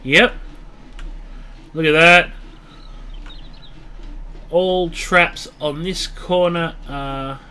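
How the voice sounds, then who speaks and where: Stuffy-nosed man, slow and bright. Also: a little high, steady tone, clean tone. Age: late 30s. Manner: thinks aloud, talks straight to viewers. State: stuffy nose from a mild cold. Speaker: let's play host. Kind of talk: casual gameplay commentary.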